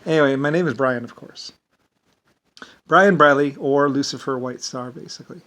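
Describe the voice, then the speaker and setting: A man's voice: medium pitch, moderate pace, fairly bright tone. An American man with an Irish-sounding lilt, talking in a rambling, meandering casual monologue. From United States. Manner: rambling, meandering, leans on "basically".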